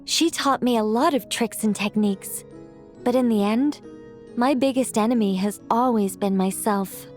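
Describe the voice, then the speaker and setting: A woman voices an ancient female angel who tells tales in a video game. The voice sits somewhat high, with measured, eloquent phrasing and a playful, wry edge. Music plays behind the words. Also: close to an everyday voice. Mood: fond, reflective. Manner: eloquent, measured, gently teasing. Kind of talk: video game character acting, storybook narration.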